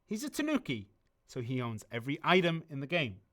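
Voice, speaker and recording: A man's voice: mid-range voice, huge pitch swings, a little fast, fairly bright tone. A man casually answering viewer questions about a game on a livestream, rambling through explanations with many ums and restarts. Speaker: community manager on a game stream.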